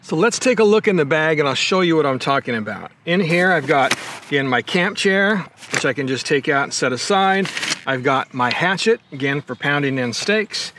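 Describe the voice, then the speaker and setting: A man's voice: on the high side, noisy background. Older man explaining camping gear outdoors. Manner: conversational, explains step by step with frequent "again" and "right". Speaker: motorcycle vlogger. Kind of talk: casual gear demonstration. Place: backyard lawn outdoors.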